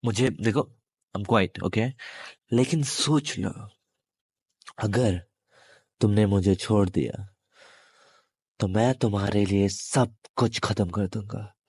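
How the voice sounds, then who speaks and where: A menacing male demon acted by a man speaks straight to the listener in a low voice. The delivery is very slow and halting, taunting and possessive, with long pauses. It is a dry, close-sounding audio roleplay. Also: darkish tone, breathy edge, no room sound, exaggerated voice. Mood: calm menace. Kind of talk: intimate audio roleplay monologue addressed to listener.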